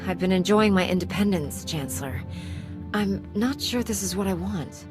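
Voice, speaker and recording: A woman's voice: medium pitch, moderate pace, close to an everyday voice. An actress playing a poised, principled woman commander in a science fiction video game. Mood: guarded. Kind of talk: video game cutscene acting. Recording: clear music under the voice.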